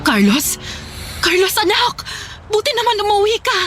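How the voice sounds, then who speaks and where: Desperate mother, high voice, halting pleas, remorseful, radio drama, background music. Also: big pitch swings. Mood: joyful relief. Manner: pleading, halting with repeated phrases. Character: remorseful, desperate impoverished mother pleading with estranged son. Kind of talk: Filipino radio drama acting.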